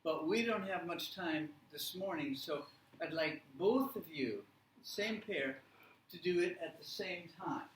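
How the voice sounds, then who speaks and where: A man teaching a live workshop, giving deliberate, simple instructions with repetition and check questions. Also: a little high, a bit bright. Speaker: language teacher leading a workshop. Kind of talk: live classroom instruction with simple step-by-step directions. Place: indoor classroom or presentation room. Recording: echoey room, distant mic, faint noise.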